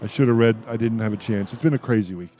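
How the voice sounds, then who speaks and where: A man's voice: fairly low pitch, fast talker, mellow. Jovial man hosting a radio show. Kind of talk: casual live radio talk.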